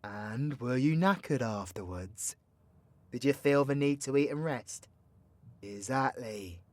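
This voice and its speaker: A man's voice: medium pitch, lively intonation, takes it slowly, dry recording. A man playing a cocky, foul-mouthed, playfully teasing supervillain mentor in an audio roleplay, with a British accent and a slangy, swaggering, theatrical delivery. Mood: teasing, instructive. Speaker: voice actor. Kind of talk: villain ASMR audio roleplay, addressing the listener.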